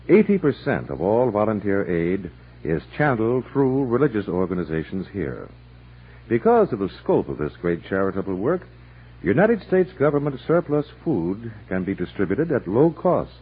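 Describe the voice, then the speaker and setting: A man announcing in a formal, measured and earnest scripted 1960s radio style. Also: lowish voice, breathy edge. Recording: thin, phone-like old radio broadcast.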